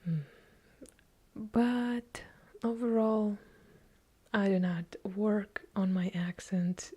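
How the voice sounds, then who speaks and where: A woman with an Eastern European accent whispering softly through a casual ASMR question-and-answer chat, correcting herself now and then. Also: mid-range voice, slightly rough. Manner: soft unvoiced whispering, unhurried, chatty with small self-corrections.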